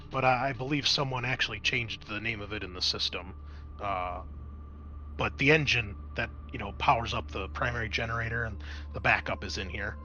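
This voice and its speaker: Bright-voiced man running a tabletop game. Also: medium pitch, highs cut off, a little hiss or hum. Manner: conversational, explanatory. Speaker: game master. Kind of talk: improvised narration of a tabletop horror game.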